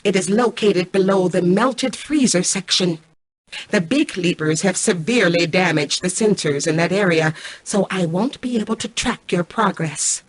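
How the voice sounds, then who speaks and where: A woman voicing a cold, arrogant mainframe intelligence in a video game, speaking in a formal, measured, commanding way. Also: lowish voice, slightly rough, poor audio. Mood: calm, superior. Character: cold, arrogant female mainframe artificial intelligence, calculating and imperious. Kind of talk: scripted video game voice acting.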